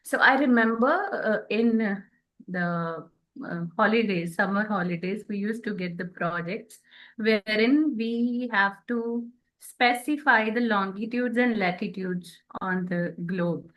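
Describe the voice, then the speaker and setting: A woman co-hosting a conversational spiritual talk, speaking in short, halting phrases. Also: medium pitch, fairly bright tone, low-quality recording. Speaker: podcast co-host.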